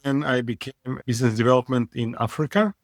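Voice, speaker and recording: Male CEO guest from Israel, frank and wry, mid-pitch, dry close sound. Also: steady tone, dry recording. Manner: frank, wry, halting non-native phrasing. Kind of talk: casual interview talk.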